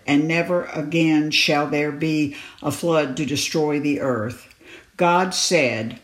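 Echoey woman reading scripture solemnly. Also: low-pitched. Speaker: scripture reader. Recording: echoey, reverberant room.

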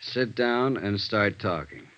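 An American man playing a tired, gruff police sergeant in a 1950s radio drama. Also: on the low side, slow pace. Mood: insistent, skeptical. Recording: dull 1950s radio recording.

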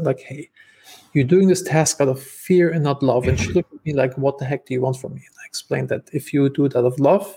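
A man's voice: medium pitch, gravelly, darkish tone, slightly rough. A man with a German accent, a guest on a podcast, telling stories casually with lots of fillers and restarts. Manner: talkative, many fillers and restarts. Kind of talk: casual interview storytelling.

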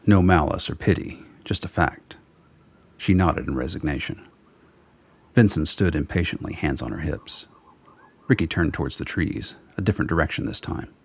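A man with a husky voice reading scripted narration for an audio drama as its narrator. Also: a bass voice, lively intonation, normal speed.

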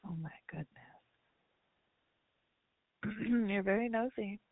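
A woman's voice: medium pitch, takes it slowly, dry recording. A woman on a lo-fi call, trading casual banter with blunt, dismissive comebacks. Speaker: phone chat line caller. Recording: dull lo-fi line, dry.

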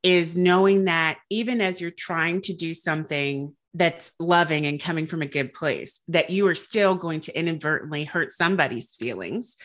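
A woman's voice: low voice, normal speed, few pauses, fairly bright tone. A woman in her thirties from the Southern United States, a guest author speaking earnestly and reflectively in a casual interview. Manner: reflective, earnest, laughs lightly.

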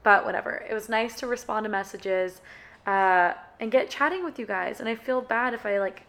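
A young woman with a sore throat and a slightly hoarse voice, hosting her podcast in a casual, friendly solo chat full of "uh" and "like". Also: mid-range voice, moderate pace, fairly bright tone. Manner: chatty and friendly, with many "uh" and "like" fillers. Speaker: podcast host.

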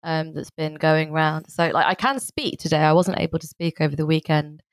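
A woman co-hosting a podcast, sick with a cold and croaky, joking in a dry, deadpan way. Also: sits low, moderate pace, dry recording. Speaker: podcast co-host. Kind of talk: casual chat.

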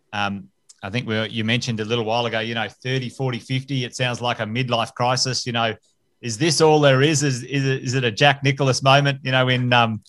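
A man hosts a podcast and works his way into each question with plenty of fillers and restarts. His voice sits at an average middle pitch. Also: a bit bright. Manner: halting, filler-heavy questions. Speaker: podcast host. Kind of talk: interview questions.

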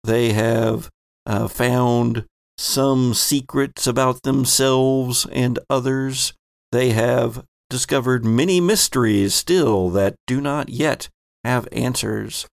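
A man hosting a tabletop game podcast, introducing and recapping the story as game master with theatrical, deliberate phrasing. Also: medium pitch, vocal fry, no room sound, clean studio sound.

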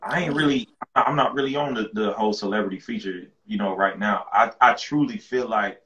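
Man from with a low, deep voice, relaxed slangy guest; muffled lo-fi sound in an echoey room. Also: brisk. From rural North Carolina. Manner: laid-back, slangy, repeats words as he restarts. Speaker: guest rapper. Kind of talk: casual interview chat.

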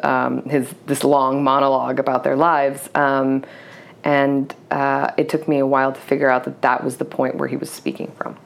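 A woman's voice: extremely low pitch, level tone, normal speed, creaky. A woman novelist, not a native English speaker, answering interview questions hesitantly, with many restarts, fillers and a reflective search for words. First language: Serbo-Croatian. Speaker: novelist guest. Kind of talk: interview conversation.

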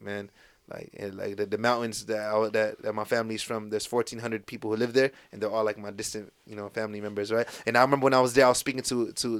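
A man raised in the United States, a podcast guest telling stories in a relaxed, casual way, full of fillers and restarts. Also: on the low side, creaky, smooth, no echo. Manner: laid-back storytelling with lots of fillers and restarts.

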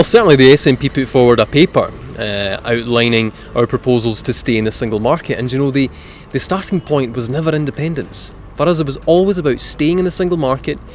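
A man's voice: medium pitch, background noise. A man who grew up in Scotland, giving earnest political answers as a candidate in an interview. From born in Italy, raised in Scotland from age seven. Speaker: parliamentary candidate.

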